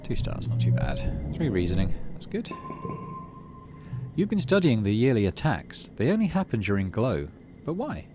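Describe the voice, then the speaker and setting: A man's voice: on the low side, wildly swooping pitch, moderate pace, over music. A man hosting a gaming video, reading story text aloud and adding muttered asides as he plays.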